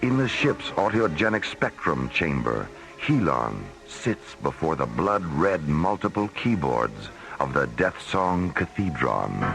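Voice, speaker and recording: A man narrating a science fiction radio drama in a deliberate, ominous, gravelly voice. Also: rumbling low, sing-song, a bit bright, faint music behind, exaggerated voice, rough recording. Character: deep, sober male storyteller of a space adventure.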